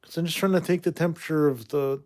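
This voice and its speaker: A man's voice: a little high, fairly even intonation, fast, darkish tone. Forties male streamer from Canada; loose, rambling, blunt comment-reader. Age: 40s. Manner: rambling, reads viewer comments aloud, blunt. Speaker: livestream host. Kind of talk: casual livestream chat reading comments.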